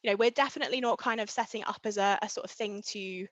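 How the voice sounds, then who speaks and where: A young woman, a riding coach, talking in a casual interview with lots of fillers and a loud, enthusiastic voice. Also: medium pitch, fast talker, no echo. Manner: chatty, many fillers like um and kind of. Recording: dull, muffled sound.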